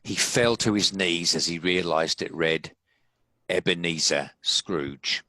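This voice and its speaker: A man narrates a scripted story. His voice is deep yet bright and forceful, and it sounds close and dry with very little room around it. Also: slow, dry recording, rough recording. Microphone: home video-call recording.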